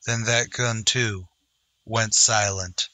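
A man's voice: fairly low pitch, steady tone, takes it slowly, bright, forceful tone, dry recording. A man narrating an adventure story in a dramatic old-time radio style.

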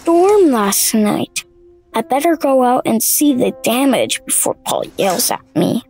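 Woman as an uneasy dreamer, creaky and halting. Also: on the high side, sing-song, darkish tone, soft background music. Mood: anxious. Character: uneasy dreamer drawn to mend a ghost's gravestone.

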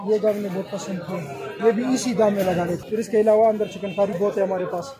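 A man's voice: sits high, fast. A man in his thirties from Pakistan, a cloth shopkeeper persuasively listing fabrics, colors and prices to a customer. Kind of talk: sales pitch to a customer. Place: crowded cloth shop in a market. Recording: busy market crowd behind.

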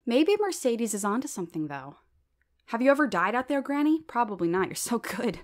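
An excitable young-at-heart comedienne, acted by a woman, with a highish voice that swings widely up and down. She gushes and chats sincerely in audio drama style. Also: brisk. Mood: upbeat, gushing. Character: perky, sincere, excitable female stand-up comic.

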